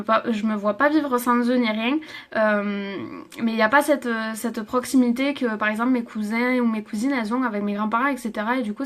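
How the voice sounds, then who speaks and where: A young woman from Toulouse with a slightly high voice tells her own story to viewers. She talks haltingly, full of fillers, and sounds close to tears. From France. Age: 20s. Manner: hesitant, many fillers, laughs and reads viewer comments. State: emotional, near tears. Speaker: livestreamer. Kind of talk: personal story on a live stream.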